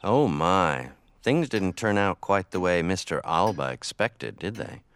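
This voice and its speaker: A macabre horror anthology host with a deep, swooping male voice. He is sly, teasing and theatrical, like old-time radio, with faint music underneath. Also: animated, unhurried, a bit bright, smooth, full character voice. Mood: sly amusement.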